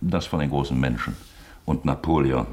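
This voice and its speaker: A deep-voiced, sly police investigator. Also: male voice, normal speed, darkish tone. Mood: sly, soothing. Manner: wheedling, insinuating, falsely apologetic. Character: sly, probing male police investigator, feigning jovial courtesy. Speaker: actor in a radio drama. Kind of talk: German radio play acting.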